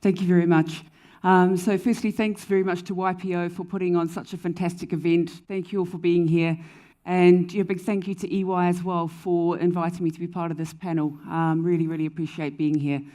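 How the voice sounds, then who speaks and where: A woman from New Zealand speaks as a panelist at a business conference, her accent softened by years abroad. She talks fluently in a low, flat voice, leaning on "um" between points. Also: a little fast. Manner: fluent, frequent "um" fillers. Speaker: panelist, company founder. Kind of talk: panel discussion answer. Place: conference stage before an audience.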